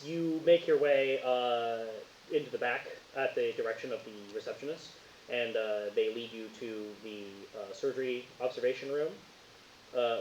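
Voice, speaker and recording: A man speaking casually as a game master, narrating haltingly and often searching for words. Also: mid-range voice, a little mellow. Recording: roomy echo, dull lo-fi sound, faint hiss.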